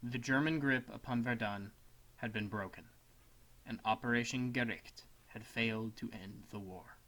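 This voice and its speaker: A man narrating a scripted essay as a dramatic reading, in a solemn, measured manner. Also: fairly low pitch, a bit bright, rough recording. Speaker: student narrator.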